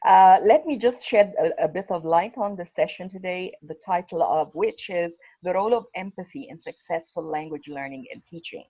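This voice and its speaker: A woman's voice: on the low side, moderate pace, hardly any pauses, mellow, no echo. Woman, formal webinar host, lo-fi call audio, dead-dry sound. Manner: formal, moderating. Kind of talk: hosting an online webinar. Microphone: video call.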